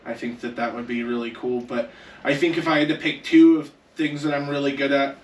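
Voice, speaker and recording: A man's voice: medium pitch, steady tone, brisk. A young man co-hosting a casual gaming podcast, upbeat and leading the talk with questions. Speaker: podcast co-host. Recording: roomy echo, distant mic, faint noise.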